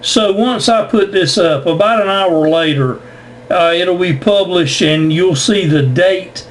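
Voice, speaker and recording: A man with a high-pitched voice talks casually as a ham radio operator. He explains things, doubling back on words, over faint noise. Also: measured pace. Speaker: amateur radio operator recording contacts for YouTube. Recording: noisy amateur radio audio.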